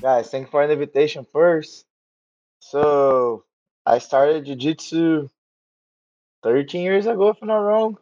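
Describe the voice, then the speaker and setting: A man's voice: on the high side, darkish tone. Brazilian guy in his 20s, portuguese accent, sore throat, kinda muffled lo-fi audio. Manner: halting non-native English with filler words. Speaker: podcast guest. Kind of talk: casual interview chat.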